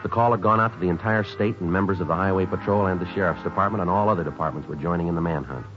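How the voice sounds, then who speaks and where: A man acts a businesslike American detective in a vintage radio police drama. The voice is deep and quick, with terse deadpan delivery, on a dull old broadcast recording. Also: over music, close to an everyday voice. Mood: flat, factual. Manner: deadpan, terse, matter-of-fact. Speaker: narrator and lead actor. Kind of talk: 1950s radio police drama acting, clipped scripted narration.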